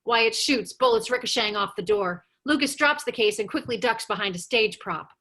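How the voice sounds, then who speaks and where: A woman reading screenplay stage directions aloud as the narrator of a table read, with animated storytelling. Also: a little high, moderate pace.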